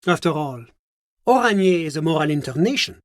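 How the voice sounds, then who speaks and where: An actor playing a polite, evasive diplomatic official in a video game, speaking in a formal, measured, officious manner. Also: male voice, a little high, animated, no echo, studio quality, natural voice. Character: polite, evasive, fastidious male diplomatic bureaucrat. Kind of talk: video game character voice acting.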